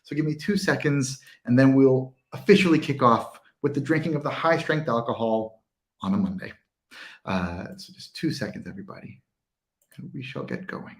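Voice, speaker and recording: A man's voice: mid-range voice, moderate pace. Chatty American man hosting a livestream. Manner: chatty, self-mocking. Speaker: co-host of a whisky tasting.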